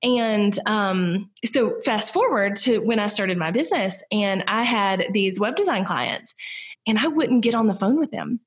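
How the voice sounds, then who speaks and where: A woman in her thirties speaks with a clear Southern US accent. Her voice sits in an ordinary middle register. She talks in a loose, chatty way, circling her point with "like" and "you know". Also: medium pitch, lively intonation, a little slow. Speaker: podcast guest, business owner. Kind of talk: casual conversational interview.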